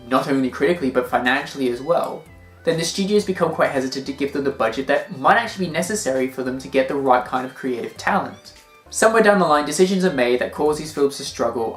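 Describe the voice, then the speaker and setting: A video essay host giving scripted, opinionated commentary with an emphatic delivery. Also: mid-range voice.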